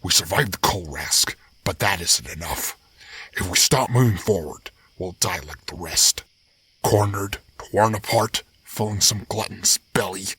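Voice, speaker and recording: A man's voice: sits low, a little slow, sharp tone, slightly rough, no room sound, heavily acted. A man voicing a driven wild animal in a dramatic fandub, intense, urgent and solemn. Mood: earnest, pleading. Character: grim, driven male wild animal with whiskers.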